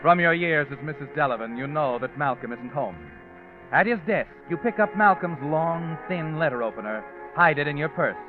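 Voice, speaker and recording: An actor playing a sinister, all-knowing narrator of a radio crime mystery, with an insinuating, knowing delivery. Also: male voice, a little high, lively intonation, moderate pace, natural voice. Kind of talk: 1940s radio suspense narration in second person. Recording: muffled old radio recording with music.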